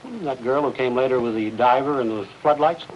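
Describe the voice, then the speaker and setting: A grumbling, middle-aged night watchman played by a man with a mid-pitched voice. He is offhand and evasive, drifting off the point in a 1950s TV drama. The old film soundtrack carries a faint noise. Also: fairly quick, lo-fi sound, close to an everyday voice. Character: stubborn, evasive working-class night watchman fussing over lunch. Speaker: actor playing a watchman.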